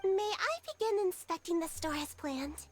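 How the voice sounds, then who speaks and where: A woman's voice: very high voice, normal speed, over music. A woman voicing a sweet, childlike magical creature in a video game, innocent, earnest and a little hesitant.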